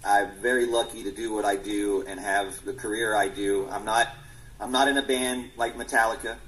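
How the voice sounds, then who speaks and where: Halting, low-voiced man in his forties. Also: fairly even intonation, fairly bright tone, poor audio. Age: 40s. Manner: earnest, halting with many restarts. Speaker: musician guest. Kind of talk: casual interview answers.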